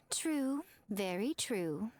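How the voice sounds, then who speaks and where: A woman's voice: medium pitch, very animated, drawn-out words. A woman voicing a sly, elegant shrine priestess in a video game, teasing, coy and self-assured in a theatrical way. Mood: needling.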